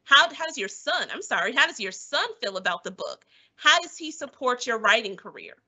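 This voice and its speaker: A woman's voice: on the high side, sing-song, brisk, pushed and bright. A woman, around her thirties, hosting a live online event in an encouraging presenter's manner.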